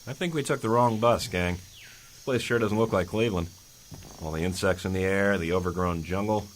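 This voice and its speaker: Man playing a low-voiced wisecracking young superhero. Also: moderate pace, a little hiss or hum, close to an everyday voice, low-quality recording. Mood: wry. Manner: dry, joking. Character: wisecracking young male superhero, breezy joker. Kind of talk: fan audio drama acting.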